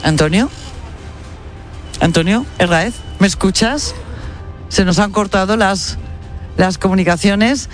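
A man's voice: high-pitched, measured pace, long pauses, faint music behind. A man reporting live for radio from a street event, chatty and addressing listeners directly.

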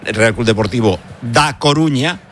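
A man's voice: mid-range voice, measured pace, fairly bright tone, slight background noise. A man presenting sports radio, hesitating with frequent "eh" and joking. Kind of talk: casual sports radio chat.

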